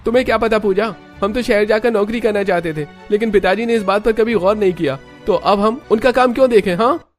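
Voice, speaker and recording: A man's voice: high-pitched, brisk, faint music behind, voice pushed up, lightly acted. A man voicing an angry, selfish young husband who scolds indignantly in a cartoon story. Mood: resentful. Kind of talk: Hindi cartoon story voice acting.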